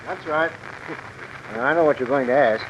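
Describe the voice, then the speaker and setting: A man's voice: mid-range voice, a little slow, close to an everyday voice. An actor playing a helpful telephone repairman with a folksy, offhand manner in a 1950s radio drama. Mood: easygoing. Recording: muffled noisy vintage radio, rain behind.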